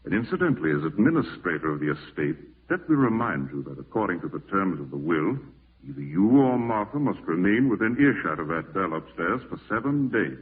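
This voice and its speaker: An actor playing a calm, authoritative doctor, speaking in the measured, formal manner of 1940s radio drama. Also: male voice, low-pitched. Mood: brisk, formal. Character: calm, authoritative male doctor and estate executor. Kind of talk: 1940s radio horror acting. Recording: tinny, distant old radio broadcast recording.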